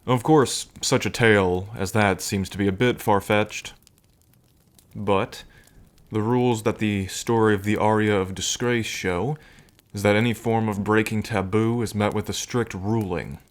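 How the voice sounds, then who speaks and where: A man playing a smitten, teasing dragon king in an intimate ASMR roleplay, with unhurried, murmuring pauses. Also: fairly low pitch, fairly even intonation, close to an everyday voice. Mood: grave, measured. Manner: unhurried, murmuring pauses, hums and soft chuckles.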